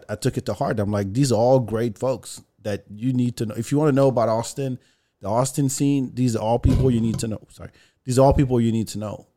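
A middle-aged man who grew up in France and speaks English as a second language, telling stories in a casual podcast interview. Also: fairly low pitch. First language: French. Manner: animated, restarts phrases, laughs easily. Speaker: podcast guest.

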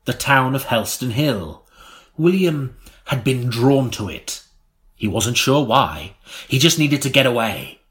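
A man narrates a gothic tale in a mock-serious audiobook style. His delivery is deliberate and melodramatic, and his voice sits at an average male pitch. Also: lively intonation, a bit bright. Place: recording studio.

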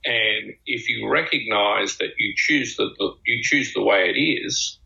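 A man co-hosting a talk show, musing slowly in a reflective, conversational way. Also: fairly low pitch, creaky, bright, forceful tone. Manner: slow, musing, with drawn-out phrases. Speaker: podcast co-host. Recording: dull lo-fi sound.

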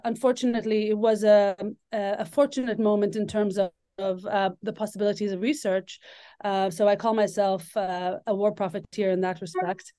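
A woman from Lebanon, an author and scholar, answering interview questions thoughtfully with many pauses and ums. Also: mid-range voice, darkish tone, clean tone, dry recording.

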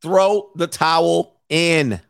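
A man's voice: a little high, slow, creaky, smooth, no echo, studio quality. A man in his forties hosting mocking reaction commentary, brash and slangy, laughing often. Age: 40s. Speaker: commentary host.

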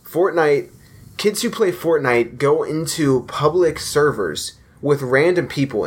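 A man's voice: mid-range voice, husky. Chatty young man hosting a podcast. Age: young adult. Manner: chatty, rambling, loose fillers and restarts. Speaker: podcast host. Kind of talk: casual podcast chat.

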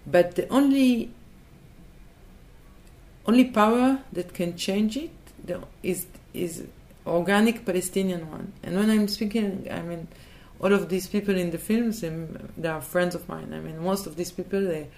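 Woman with a hesitant, halting delivery. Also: lowish voice, darkish tone. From Israel. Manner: hesitant, searching for words, many restarts. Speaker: interview guest. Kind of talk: thoughtful conversational interview.

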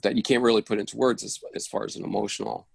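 A man with a heavily creaky, fairly low voice offers feedback in a reflective conversation. He sounds husky and close, earnest as he searches for the right words. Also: little pitch movement, racing, dry recording. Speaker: audience member giving feedback on a play. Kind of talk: casual, reflective discussion.

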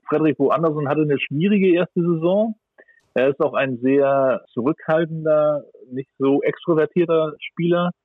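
A man's voice: on the high side, dry recording. A man giving conversational sports analysis in a considered way, thinking aloud as he weighs his judgments. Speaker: sports pundit. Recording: dull, band-limited audio.

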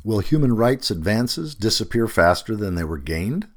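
Male narrator for long-form article. Low register, animated intonation, emphatic and deliberate phrasing. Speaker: narrator reading a magazine feature aloud.

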